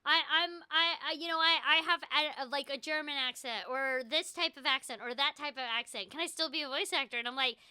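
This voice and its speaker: A woman's voice: high-pitched, brisk, few pauses, bright, forceful tone, no echo, studio quality. A woman voice actor hosting a casual stream, chatting loosely with frequent restarts and a noticeable glottal stop, on a headset mic at home.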